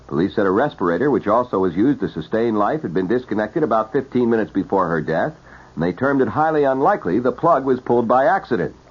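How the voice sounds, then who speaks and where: Husky male newscaster on muffled vintage radio. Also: fairly low pitch, expressive. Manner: formal, measured announcer delivery. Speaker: radio news anchor. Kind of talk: 1970s scripted radio newscast.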